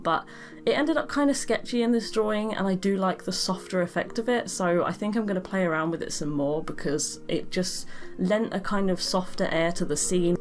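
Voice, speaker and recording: Chatty woman, slightly croaky and tired, fairly low voice, desk mic with music underneath. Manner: chatty, self-deprecating, laughs often. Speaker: art channel host. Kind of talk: casual voice-over commentary on a drawing. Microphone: desk microphone. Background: background music.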